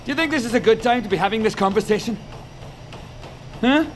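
A man's voice: high voice, brisk, a little hiss or hum, lightly acted. A man playing a curt, demanding corporate boss in an audio drama, impatient and challenging.

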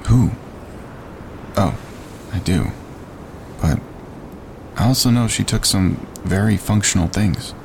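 An actor playing a calm, practical male wilderness hiker with a dryly teasing manner, in a naturalistic audio drama. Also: slow pace, pauses often. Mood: calm, firm. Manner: level-headed, dryly teasing. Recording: clear outdoor ambience and rumble.

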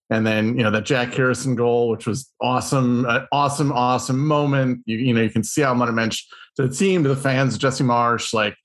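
A man co-hosting a sports podcast, talking in an excitable, rambling way. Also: mid-range voice. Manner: excitable, rambling, lots of fillers and restarts. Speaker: podcast co-host. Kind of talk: casual sports podcast chat.

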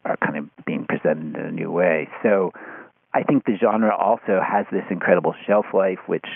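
A man speaking as an interview guest in a thoughtful, halting way, often restarting his sentences. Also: sits low, vocal fry, breathy edge, dry recording. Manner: hesitant, halting, with repeated false starts. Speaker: podcast guest, media critic. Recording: phone-like, thin band-limited line.

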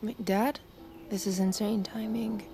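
A woman's voice: medium pitch, fairly even intonation, measured pace, warm tone, faint music behind, lightly acted. Woman playing an earnest young American army recruit. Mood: urgent, confused. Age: young adult. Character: young female army recruit, earnest, uneasy, searching for answers. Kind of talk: naturalistic audio drama acting.

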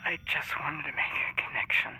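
A man's voice: whispered, close to an everyday voice, poor audio. An actor playing a lonely, haunted man who halts and breathes his way through an audio drama confession, mourning a ghostly presence. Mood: haunted, hushed. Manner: halting, hushed storytelling with breathy pauses. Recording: music bed under the voice.

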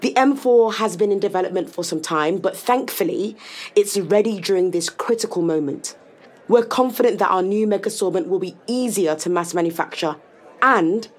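A woman's voice: on the low side, natural voice. A woman playing a poised, guarded corporate executive in an audio drama, speaking formally and with control. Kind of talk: scripted audio drama acting.

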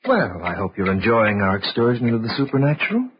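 An actor plays a wry male host of eerie radio tales, with a medium-pitched voice. The vintage recording is muffled and clearly noisy. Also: big pitch swings, fast talker, close to an everyday voice. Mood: sardonic. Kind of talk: old-time radio narration.

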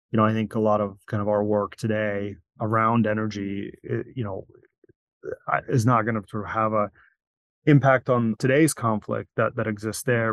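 A middle-aged man, born in Crimea and raised in Virginia, giving measured, explanatory interview answers with frequent restarts. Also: on the low side, dry recording. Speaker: company founder.

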